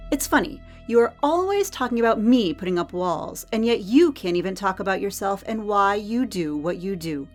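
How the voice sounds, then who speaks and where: A woman's voice: medium pitch, animated, moderate pace, few pauses, close to an everyday voice. Audio drama role played by a woman: weary, blunt skeptic, medium register, pressing delivery over a music bed. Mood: skeptical, pressing. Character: guarded, weary, probing female arena worker. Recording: music under the voice.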